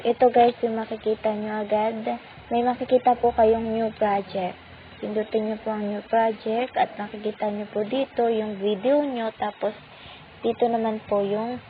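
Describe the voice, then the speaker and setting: A woman speaking with a Filipino accent, walking viewers through a phone app tutorial step by step in a polite, patient way. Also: a little high. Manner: polite, patient, uses many "po" courtesy markers. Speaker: tutorial channel host. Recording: tinny phone-like audio with faint noise.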